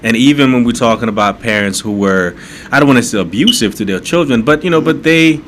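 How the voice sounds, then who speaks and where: A man's voice: mid-range voice, brisk, a bit bright, a little hiss or hum. A middle-aged man from Haiti, a guest author talking earnestly in a podcast conversation, often checking in with "right?" and restarting his sentences.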